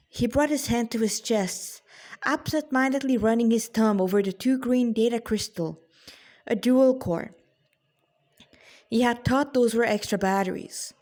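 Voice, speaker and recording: Woman, higher-pitched voice, very slow pace, deliberate dramatic story reading, informal amateur author. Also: darkish tone.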